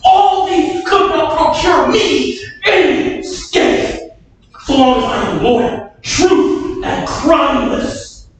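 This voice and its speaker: A woman reading a loyal old duke. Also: lively intonation. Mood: defiant. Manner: measured verse delivery rising to shouts. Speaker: actor in a staged reading. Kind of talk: stage reading of verse drama. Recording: echoey hall, mic far off, faint rumble.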